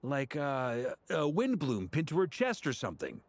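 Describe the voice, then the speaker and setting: A man's voice: medium pitch, huge pitch swings, normal speed, no echo. A man voicing a genial, self-assured knight in a video game, talking casually and with a bantering manner. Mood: amused. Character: easygoing, genial grown male knight, joking and self-assured.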